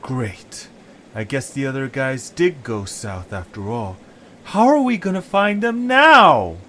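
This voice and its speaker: Man playing an expressive, easygoing creature hero. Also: medium pitch, slow. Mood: wry, uneasy. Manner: casual, expressive. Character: young male creature hero, easygoing, reasoning, slightly sardonic.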